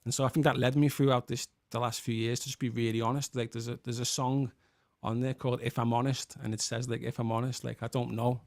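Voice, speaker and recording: A man with a Scouse accent speaks in a relaxed, reflective chat, sharing honest thoughts as a guest. His voice sits at an ordinary middle pitch. The sound is dry and close, with almost no room around it. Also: fast talker, few pauses, no echo. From England. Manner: earnest, reflective, many fillers like "like". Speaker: guest musician and worship leader. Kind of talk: casual podcast chat.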